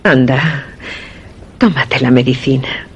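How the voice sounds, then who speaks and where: An actress playing a secretive, hard wife in a Spanish radio suspense drama, terse and evasive, with a Castilian accent. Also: female voice, extremely low pitch, takes it slowly, muffled recording, a little hiss or hum, exaggerated voice. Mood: cool, evasive. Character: secretive, hard, curt wife hiding sinister work.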